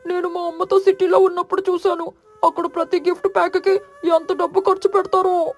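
A bragging little boy crow, voiced by a woman speaks very high. His voice barely rises or falls. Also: level tone, brisk, soft background music.

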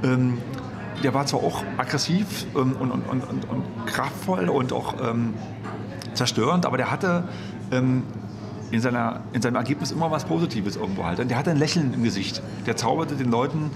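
A man giving a spontaneous interview recollection, in a halting, colloquial and blunt way. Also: medium pitch, vocal fry, a crowd in the background. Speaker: interviewee recalling the techno scene. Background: loud music.

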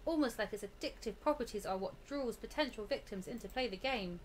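A woman's voice: on the high side, lively intonation, brisk, a little hiss or hum, pitched below the performer's own voice, lightly acted, rough recording. A woman voicing the frightened young protagonist of a horror visual novel, dramatic and anxious. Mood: uneasy, subdued.

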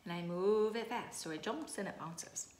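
A woman reading a children's picture book aloud in an expressive way. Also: mid-range voice, normal speed. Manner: expressive, acting out exclamations and dialogue. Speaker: storybook reader. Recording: echoey room, clear background noise.

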